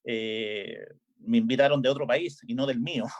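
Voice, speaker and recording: A man's voice: mid-range voice, normal speed, dull audio. A middle-aged Chilean man, a volleyball coach, talking casually in an interview with frequent hesitations and restarts. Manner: hesitant, many filler "eh"s and restarts. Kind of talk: relaxed interview chat.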